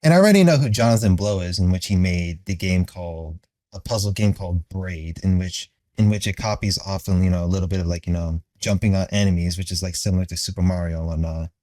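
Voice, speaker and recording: A man's voice: low-pitched, fast talker, darkish tone, no room sound. A man giving casual, opinionated video commentary in a rambling, sweary way. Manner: rambling, profane.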